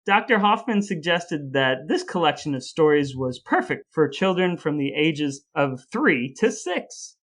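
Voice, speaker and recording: Male podcast host, slightly high voice, casual storyteller with dry, playful sarcasm. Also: very animated, measured pace, few pauses, fairly bright tone.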